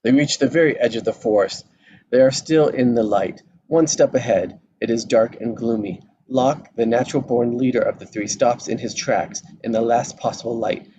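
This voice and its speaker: A man narrates stage directions for a script table read. His voice sits at a medium pitch, with slight background noise on the recording. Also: moderate pace, mellow, highs cut off.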